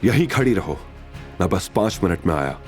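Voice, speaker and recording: A man's voice: low voice, big pitch swings, normal speed, faint music behind. A man playing a fearless, protective hero, defiant and commanding, in an audio drama. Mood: calm assurance. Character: fearless, brooding male hero defying villains, protective. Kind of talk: Hindi audio drama acting.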